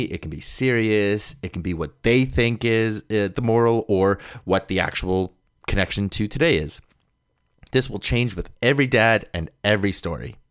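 A man with a very low voice speaks as a podcast guest host. His delivery is a conversational read from notes, captured very dry with almost no room sound. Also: no echo. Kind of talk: conversational scripted introduction.

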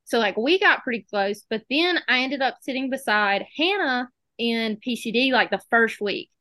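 A woman's voice: fairly high pitch, expressive, normal speed, bright, forceful tone, no room sound. A young woman from South Alabama with a Southern accent, chatting as a podcast guest and telling stories with quoted back-and-forth. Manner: chatty storytelling, acts out quoted exchanges. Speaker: dental student guest. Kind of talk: casual chat.

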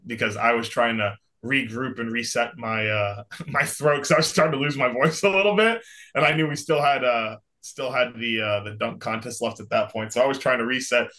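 Bright-voiced young man, animated chat. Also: medium pitch. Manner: animated storyteller, acts out quotes. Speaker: podcast co-host. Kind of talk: casual chat.

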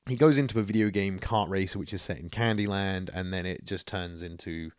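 A Scottish man co-hosting a podcast, chatting casually and playfully about films and games in an informal, excitable way. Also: low-pitched, fairly quick, no room sound, clean studio sound. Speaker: podcast co-host.

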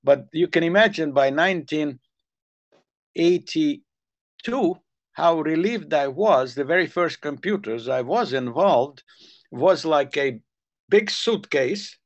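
Older hungarian guy rambling through old work stories, kinda high voice, muffled lo-fi sound. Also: lively intonation, slow. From Ukrainian Soviet Republic. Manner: rambling storyteller, repeats words when restarting. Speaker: guest telling stories. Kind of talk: casual chat.

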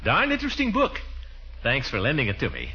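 An actor playing a pompous, easily flustered, flirtatious middle-aged uncle in a 1940s radio comedy, blustering and hesitant. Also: male voice, mid-range voice, huge pitch swings, fairly quick, pushed and bright, full character voice. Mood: casually scheming. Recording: muffled, noisy 1940s radio recording.

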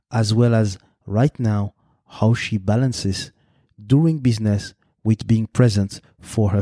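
A man's voice: on the low side, dark tone, dry recording, studio quality. A man hosting an interview podcast. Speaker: podcast host.

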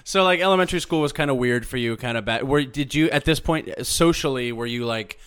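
Male podcast host, mid-range pitch, casual interviewing, teasing, crude joking banter. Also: brisk, fairly bright tone, smooth.

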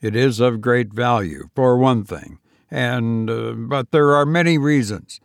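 A man voicing a brooding, fearful lover in an audiobook, grave and halting but tender. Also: mid-range voice, gravelly, no echo, studio quality. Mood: grave, insistent. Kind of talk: audiobook character voice.

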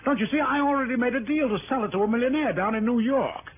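An actor playing a slick crooked art fence in a 1960s radio drama, excitable, protesting and pleading. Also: male voice, very high voice, fast talker. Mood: agitated, insistent. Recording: narrow-band old radio recording, background hubbub.

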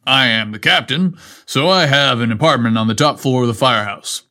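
A man's voice: medium pitch, fairly quick, bright, forceful tone, lightly acted. A man voicing a brave, modest fire captain in a story, explaining things in an earnest, plainspoken way. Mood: friendly.

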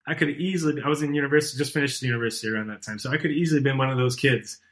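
A man's voice: medium pitch, racing, fairly bright tone. A man in his thirties, a podcast guest talking in a loose, rambling way with many fillers and restarts. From born and raised outside Iran. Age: 30s. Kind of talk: casual conversational interview.

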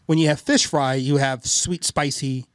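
A man's voice: mid-range voice, fairly even intonation, normal speed, no room sound, studio quality. A man chatting casually on a podcast, telling rambling stories and joking with his co-hosts. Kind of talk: casual chat.

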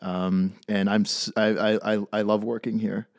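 A man's voice: on the low side, flat delivery, unhurried, dry recording, studio quality. A young man from California with a Californian accent, co-hosting a casual podcast, joking and rambling with many ums. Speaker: podcast co-host. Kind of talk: casual chat.

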